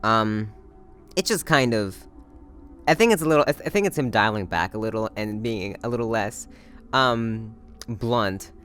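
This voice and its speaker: Guy casually explaining a play character, kinda hesitant, thinking out loud, music in the back. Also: fairly low pitch, moderate pace, clear, resonant tone. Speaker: actor discussing a play.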